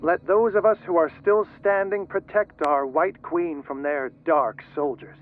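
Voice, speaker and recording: An actor playing a solemn scholar obsessed with occult secrets, reading letters formally through a radio-like filter in a video game. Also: male voice, on the high side, fairly even intonation, unhurried, faint music behind. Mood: solemn, confessional. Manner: formal, literary. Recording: tinny, narrow radio-like sound.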